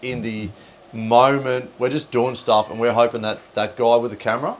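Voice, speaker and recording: Relaxed, chatty man. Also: mid-range voice, normal speed, a little hiss or hum. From born in Denmark, raised in Australia. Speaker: guest, outdoor adventurer. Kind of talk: casual chat.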